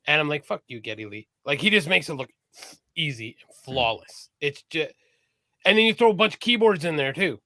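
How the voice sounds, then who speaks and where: A man's voice: fairly high pitch, lively intonation, fairly quick, pushed and bright, no echo. A man co-hosting a casual live-streamed podcast, chatty and enthusiastic about music, swearing casually. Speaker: podcast co-host.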